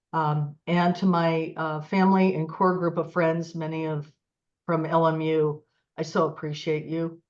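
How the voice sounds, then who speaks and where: Woman w/ low voice saying earnest thanks for an award, slightly muffled sound. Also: a little mellow. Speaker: award recipient, law school educator. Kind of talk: short thank-you speech.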